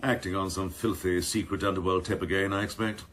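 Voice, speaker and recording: A man's voice: sits low, fairly even intonation, brisk, fairly bright tone. An actor playing a sardonic senior policeman in a radio drama, scornful and mock-deferential, with ornate, sarcastic phrasing. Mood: sardonic, needling. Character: sardonic, scornful senior police Assistant Chief, needling his boss.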